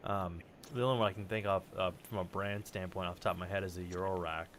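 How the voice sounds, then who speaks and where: A man chatting casually as a podcast co-host, halting and digressive, with some swearing. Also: deep voice, fairly even intonation, a bit bright, slight background noise. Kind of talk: casual, rambling conversation.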